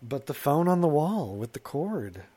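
A man's voice: fairly high pitch, sing-song, normal speed, mellow, husky. A middle-aged man co-hosting a talk show in casual comic chat, rambling and self-mocking, slipping into put-on voices to quote himself.

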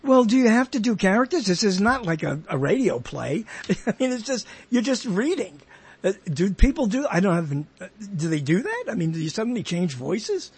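Halting, high-voiced man with a husky edge. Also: sing-song. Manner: halting, rambling, repeats himself. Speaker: interviewer, host. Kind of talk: conversational interview.

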